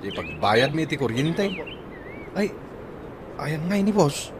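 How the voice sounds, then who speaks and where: A man's voice: on the high side, big pitch swings, takes it slowly, noisy background. A man playing a weary, timid, complaining husband in an Ilocano comedy radio drama, with plaintive exclamations. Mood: flustered.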